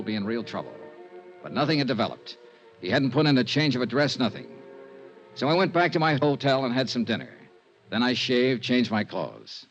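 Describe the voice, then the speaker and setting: An actor playing a dry, persistent insurance investigator in a 1950s radio drama, with an American accent and clipped, understated delivery. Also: male voice, mid-range voice. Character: dry, persistent male insurance investigator narrating his case. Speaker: investigator and narrator. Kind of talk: 1950s radio detective drama, scripted narration. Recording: dull vintage radio recording, music.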